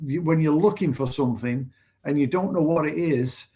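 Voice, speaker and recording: A man from England co-hosting a casual business livestream, speaking hesitantly with many pauses and fillers. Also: on the high side. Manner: hesitant, many fillers and restarts, softer than co-host. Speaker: online business mentor and livestream co-host.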